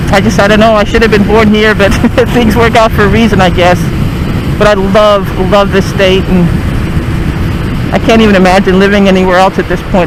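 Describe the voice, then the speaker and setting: A man's voice: high voice, noisy background. A man originally from the Northeast US with a mixed American, partly Texan accent, rambling easily with many fillers as he narrates a motorcycle ride on country roads. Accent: mixed American, part Texan. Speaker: motorcycle vlogger. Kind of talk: casual narration while riding.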